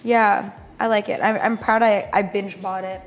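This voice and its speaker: A woman's voice: medium pitch, flat delivery, moderate pace. A young woman chatting casually and playfully with guests as she hosts a live stream, using plenty of slang. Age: young adult. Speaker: live cosplay panel host. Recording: echoey room, long reverb.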